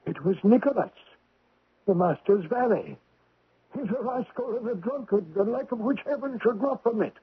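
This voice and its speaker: An actor playing a deferential, shifty household steward in a 1970s radio drama, obsequious and hesitant, with a raspy voice. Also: male voice, sits high, lively intonation, normal speed, exaggerated voice. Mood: insinuating. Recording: tinny vintage radio broadcast.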